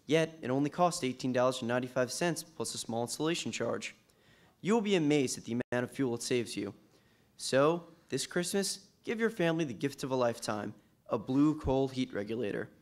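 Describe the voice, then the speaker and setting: A man plays a heating expert in a vintage-style radio commercial. The voice is mid-range. The delivery is formal and cordial, a carefully scripted, persuasive sales pitch. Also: natural voice. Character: friendly male heating expert pitching a product. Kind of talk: old-time radio commercial.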